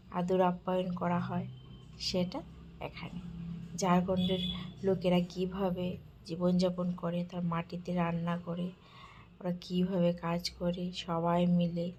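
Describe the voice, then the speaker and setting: Vlogger: woman, low, slow, even-toned, admiring, faint hum behind. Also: low-quality recording. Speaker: vlogger touring a village-life exhibit. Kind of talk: casual narrated walkthrough.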